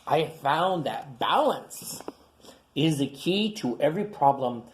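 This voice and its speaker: A man voicing a calm, health-minded female dinosaur aunt in a storybook, speaking slowly and serenely. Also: fairly high pitch, slight background noise.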